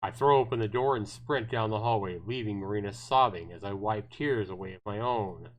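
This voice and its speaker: A man's voice: on the low side, a little fast, low-quality recording. A man reading visual novel narration aloud on a livestream, telling it dramatically with the occasional stumble. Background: guitar music.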